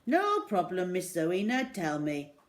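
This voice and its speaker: A woman's voice: big pitch swings, a little slow, slight background noise. A woman voicing a kindly, jittery middle-aged male newsagent who gets frantic and pleading. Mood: fussing. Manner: frantic, pleading, high and loud.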